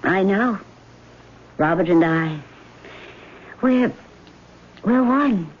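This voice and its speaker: Female ghost: haughty, weary, vengeful, very measured delivery, old muffled radio drama with hiss. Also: mid-range voice, animated. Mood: weary, resolute. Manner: slow, commanding, deliberate. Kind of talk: vintage radio drama acting.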